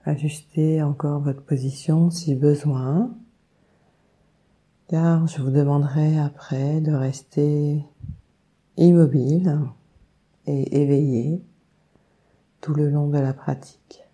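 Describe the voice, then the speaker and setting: A woman leading a guided meditation, giving calm, soothing instructions with long unhurried pauses. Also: deep voice. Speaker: yoga nidra meditation guide.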